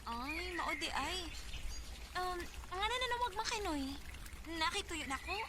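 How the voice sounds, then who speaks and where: An eager young Filipino daughter with a Bisaya accent, chatty and sweetly pleading. Her voice is very high. It is a radio drama recording with heavy ambient noise and birds. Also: lively intonation, measured pace. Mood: cheerful. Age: young adult.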